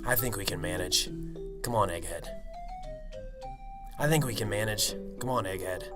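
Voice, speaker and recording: Radio-play detective, played by a man: cynical big-city cop, gruff and sardonic, music clearly behind. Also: fairly low pitch, moderate pace, natural voice. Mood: dismissive, sardonic. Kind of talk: amateur radio drama acting.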